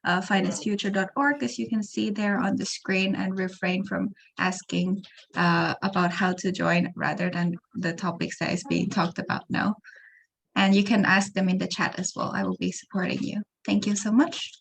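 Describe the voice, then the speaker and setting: A woman's voice: mid-range voice, steady tone, normal speed, vocal fry. A woman moderating a webinar over a video call, politely making a short announcement with instructions. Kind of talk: brief announcement.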